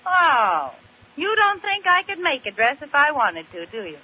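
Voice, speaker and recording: Scatterbrained american housewife, old radio sitcom, really high theatrical voice, muffled and hissy. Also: female voice, big pitch swings, normal speed, bright, forceful tone, full character voice. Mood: defiant. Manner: comic, theatrical delivery. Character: scatterbrained, stubborn, playful young American housewife. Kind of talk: 1940s radio comedy acting.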